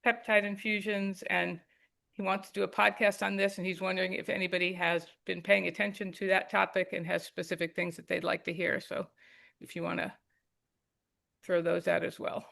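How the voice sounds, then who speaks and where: A woman's voice: on the low side, flat delivery, normal speed, vocal fry, fairly bright tone. A woman hosting a webinar on a video call, talking informally and hedging her answers. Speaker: medical director hosting a webinar.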